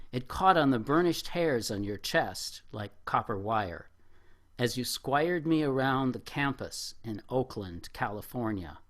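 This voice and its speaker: Man reading aloud with expressive pitch swings. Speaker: poet at an open mic. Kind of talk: spoken poetry reading with informal remarks to an audience. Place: bar open mic.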